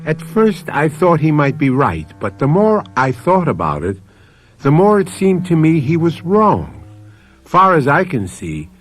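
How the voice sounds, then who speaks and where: A man hosting a radio mystery drama, introducing the story in a deliberate, scripted storytelling manner. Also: on the high side, huge pitch swings, faint music behind.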